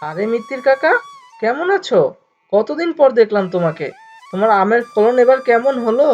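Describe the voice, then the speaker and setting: A man playing an eager, helpful male villager in an animated cartoon, talking in a reassuring way. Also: extremely high pitch, moderate pace. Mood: friendly. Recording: dull lo-fi cartoon soundtrack. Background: faint music.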